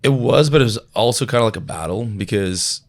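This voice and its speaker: A man's voice: medium pitch, a little fast. A man from Aruba whose first language is Papiamento, telling rambling stories with many fillers in a casual podcast chat. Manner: rambling storyteller with frequent fillers. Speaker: DJ guest.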